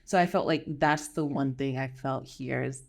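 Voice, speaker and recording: A woman's voice: extremely low pitch, moderate pace, gravelly. A woman with an American accent chatting casually as a podcast guest, in a conversational way. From United States. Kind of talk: casual chat.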